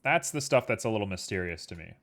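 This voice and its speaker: A man's voice: lowish voice, animated, fast, a bit bright. Easygoing, rambling man with a sniffle. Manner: easygoing, rambling, filler-heavy. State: a little sniffly. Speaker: livestreamer. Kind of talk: casual chat while working on a 3D model.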